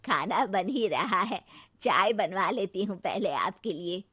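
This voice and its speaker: A woman's voice: mid-range voice, lively intonation, a little slow, a bit bright, breathy edge, no room sound. A woman voicing an older mother-in-law in a cartoon story, welcoming and fussy, with a coaxing manner. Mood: proud, welcoming. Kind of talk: cartoon moral story character voice.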